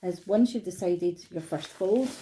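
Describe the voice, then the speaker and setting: A woman's voice: lowish voice, a little slow, dark tone, slight background noise, poor audio. Chatty Scottish woman teaching crafts. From Scotland. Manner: chatty, encouraging, informal. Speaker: art workshop tutor. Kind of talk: online craft tutorial. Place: dining room at home.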